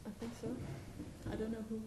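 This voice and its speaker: A woman's voice: on the high side, monotone, fast talker, far from the mic, heavy background noise. A woman from Switzerland making casual conversation as a participant in a group. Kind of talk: casual chat. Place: echoey indoor room. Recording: echoey room, loud crowd chatter.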